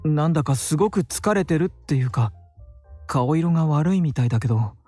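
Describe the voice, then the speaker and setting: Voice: medium pitch, animated, rapid-fire, warm tone, no room sound, soft background music, close to an everyday voice. An actor playing a friendly, caring and playful bar server in a visual novel. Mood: worried. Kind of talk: Japanese visual novel voice acting.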